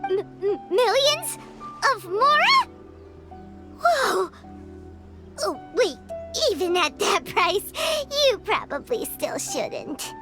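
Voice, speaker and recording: A tiny, childlike game companion with an extremely high, squeaky voice. The delivery is excitable and swoops wildly up and down, over loud background music. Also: female voice, slow pace, pauses often, exaggerated voice. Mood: astonished. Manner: expressive, stammers when startled. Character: small, excitable, childlike floating companion creature. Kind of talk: video game voice acting.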